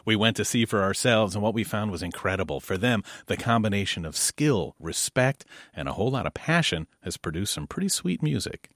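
A man's voice: on the low side, expressive, brisk, few pauses, fairly bright tone, no room sound, studio quality. Man hosting a radio talk show. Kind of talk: interviewing and presenting.

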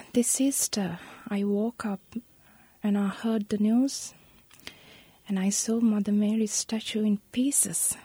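Soft, gravelly Sri woman. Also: medium pitch, no echo. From Sri Lanka. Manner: soft, halting, breathy delivery with many pauses. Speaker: guest. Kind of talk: personal account in interview.

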